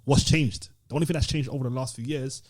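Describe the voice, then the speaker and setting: A man's voice: mid-range voice, steady tone, fast. A British man co-hosting a podcast, chatting informally in slangy, opinionated style. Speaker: podcast host. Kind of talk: casual chat.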